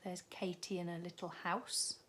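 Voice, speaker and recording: A woman's voice: lowish voice, flat delivery, normal speed, a bit bright, a little hiss or hum. A woman, a primary teacher reading a story expressively to young children, with chatty asides.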